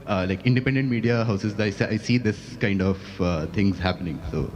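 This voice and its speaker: A man's voice: fairly low pitch, a little fast, a little mellow. A man in the audience asking a question hesitantly, full of filler words. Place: festival hall.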